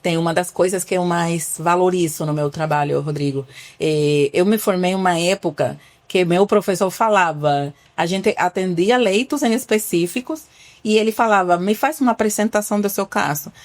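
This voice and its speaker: A woman's voice: lowish voice, moderate pace. Cuban-accented woman doctor, earnest. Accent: Cuban Spanish. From Cuba. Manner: earnest, explains with patient examples, some restarts. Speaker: family doctor. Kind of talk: podcast interview.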